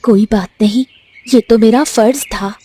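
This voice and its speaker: A woman's voice: a little high, fairly even intonation, a little slow, dark tone, husky. A woman voicing a brave, determined and earnest young village girl in a cartoon story. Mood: grateful. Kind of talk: animated village fairy tale acting.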